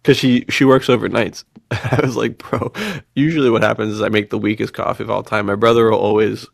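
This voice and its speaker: A man with a fairly low, creaky voice, recorded close and dry. He chats casually like a podcast host among friends, telling stories in loose slang and acting out little bits of dialogue. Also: brisk, no room sound. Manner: slangy, animated storytelling with quoted dialogue and frequent laughter. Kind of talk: casual chat, telling personal anecdotes.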